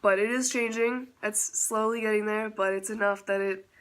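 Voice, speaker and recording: A man's voice: high voice, steady tone, moderate pace, a bit bright. A man chatting casually in a vlog update, self-teasing and laughing often, with a stuffy nose from a slight cold. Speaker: vlogger.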